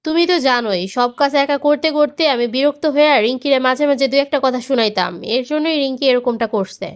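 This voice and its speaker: A woman's voice: high-pitched, quick pace, close to an everyday voice. A woman voicing a caring, remorseful wife in a cartoon family drama, earnest and attentive, with a Bangladeshi Bengali accent. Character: caring, remorseful wife and elder sister-in-law.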